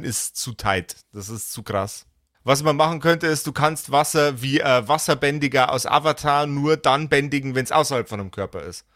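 Male game master, mid-pitched, dry recording; colloquial, blunt explanations in casual tabletop chat. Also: fairly bright tone, dry recording. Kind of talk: casual tabletop character-creation discussion.

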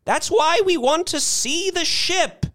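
Fierce elderly woman for audio fiction: very high, shrill voice, indignant, insistent. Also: takes it slowly, a bit bright, heavily acted. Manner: shrill crying out, demanding. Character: indignant, forceful small old woman, long pent-up.

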